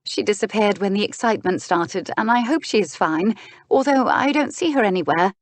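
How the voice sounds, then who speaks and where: Earnest young society lady played by a woman narrator, lightly raised pitch, polite, flustered, apologetic, period romance audiobook. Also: lively intonation, brisk, natural voice. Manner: apologetic, earnest, well-mannered. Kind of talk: historical romance audiobook character.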